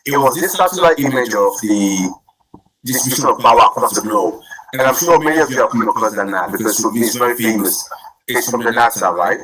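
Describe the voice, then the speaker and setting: A man's voice: mid-range voice, rough recording. Man from Cameroon presenting a webinar. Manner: many restarts and fillers, rising energy. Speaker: webinar speaker.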